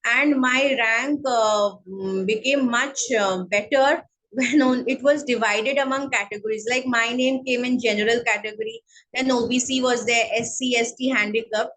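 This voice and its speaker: A woman with a Bengali accent speaking English as a guest in an interview, slow and hesitant with many fillers. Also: on the high side, fairly even intonation, a bit bright, rough recording. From India. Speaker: guest, counselling psychologist. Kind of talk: online interview, casual chat.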